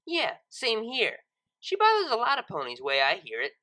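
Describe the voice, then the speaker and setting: A woman's voice: fairly high pitch, huge pitch swings, normal speed, bright, forceful tone, pitched above the performer's own voice, heavily acted. A woman voicing a brash, spiteful young unicorn mare who talks in slang and gets defensive and flustered. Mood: smug.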